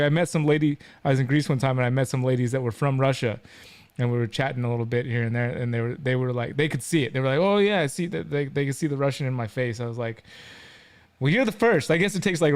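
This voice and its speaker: A man's voice: medium pitch, darkish tone. A man hosting a live stream, chatting casually with guests in an informal, jokey, rambling way.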